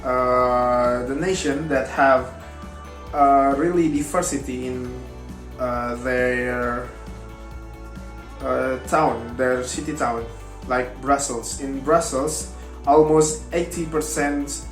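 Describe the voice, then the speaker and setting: A young man whose first language is Indonesian gives a class talk, pausing often on fillers and reaching for his words. He speaks slowly at a medium pitch. The voice sits in an echoey room with faint guitar music under it. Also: steady tone. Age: young adult. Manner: hesitant, many fillers, halting non-native grammar. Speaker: university student presenting. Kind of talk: class presentation.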